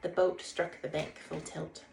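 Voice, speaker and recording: A woman's voice: sits low, level tone, measured pace, vocal fry, a little mellow. A woman hosting a read-aloud, narrating a children's storybook expressively. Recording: roomy echo, faint background noise.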